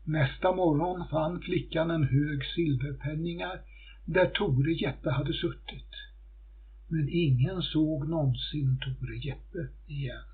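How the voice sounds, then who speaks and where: A man's voice: on the high side, slightly rough. A man reading a scripted folktale as a storyteller. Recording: muffled phone-like audio.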